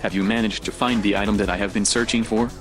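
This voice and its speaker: A man's voice: lowish voice, fairly even intonation, fast, close to an everyday voice. A man playing a cool, threatening science-fiction operative who speaks in a terse, commanding way. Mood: controlled. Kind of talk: science-fiction film dialogue. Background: music.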